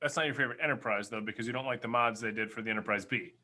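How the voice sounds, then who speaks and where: A man's voice: medium pitch, very fast, sharp tone. A man co-hosting a casual fan chat, emphatic and given to repeating phrases for effect. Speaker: podcast co-host who puts questions to the others. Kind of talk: casual banter and fan commentary.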